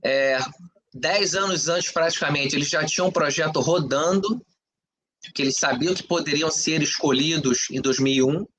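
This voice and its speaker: A man from Brazil talks in an opinionated, conversational way, and his voice sits a little above the usual male pitch. He drives his points home with rhetorical questions. He keeps checking in with his listeners through quick tag questions. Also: fairly bright tone, slightly rough. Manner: conversational, frequent "entendeu?" and "né?" tags. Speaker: podcast co-host. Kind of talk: opinionated casual talk.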